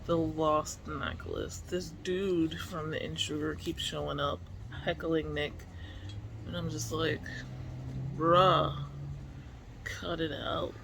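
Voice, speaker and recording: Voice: medium pitch, level tone, slow pace. A book vlogger of unclear sex chats casually in an informal, slangy way, musing aloud. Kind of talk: casual reading vlog chat. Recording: clearly noisy room ambience.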